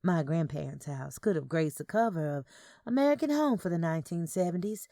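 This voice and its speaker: Creaky-voiced woman narrator. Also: on the low side, expressive, a little fast, mellow, dry recording, clean studio sound. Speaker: audiobook narrator. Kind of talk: scripted narration of Southern Gothic fiction.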